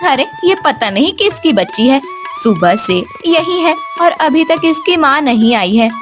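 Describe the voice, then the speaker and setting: High-voiced motherly village housewife played by a woman. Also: lively intonation, a little slow, faint music behind. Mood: caring. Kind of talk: cartoon story dialogue.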